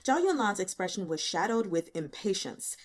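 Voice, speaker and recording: A woman reading a story aloud as dramatic, expressive scripted narration. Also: medium pitch, moderate pace. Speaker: audiobook reader.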